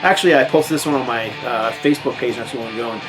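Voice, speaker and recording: An American man chats casually about records from his collection, in a mid-range voice that wanders through fillers and false starts. Loud thrash metal plays underneath him the whole time. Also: mid-range voice, fast talker, over music, poor audio. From United States. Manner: rambling, relaxed, many fillers and restarts. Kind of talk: casual record show-and-tell.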